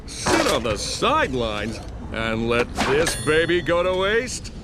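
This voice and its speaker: An actor voicing a vain, goofy, self-important space hero in a hammy video game style. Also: male voice, a little high, sing-song, slow, very noisy. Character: boastful, goofy, vain male space-hero sidekick schemer.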